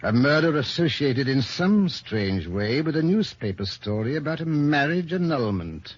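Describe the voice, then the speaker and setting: An actor playing a shrewd, unflappable police inspector, questioning with dry authority in old radio detective style. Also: male voice, mid-range voice, very animated, moderate pace, no room sound. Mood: pressing. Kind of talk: old-time radio detective drama acting. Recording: dull lo-fi vintage radio recording.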